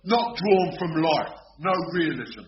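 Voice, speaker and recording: High-voiced dim young thug. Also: male voice, very animated, slow pace, distant mic. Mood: sullen. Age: young adult. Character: dim, childlike, violent young male ex-convict, doting on pet. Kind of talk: radio sitcom acting. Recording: muffled, noisy old radio recording, roomy, audience.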